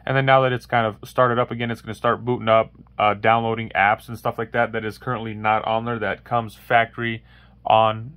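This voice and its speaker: Man, tech tutorial host, monotone, rambling with fillers, casual walkthrough, dry room. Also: on the low side, brisk, no room sound. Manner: rambling, many fillers and restarts. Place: indoors at a wooden table, voice-over of hands-only phone demo.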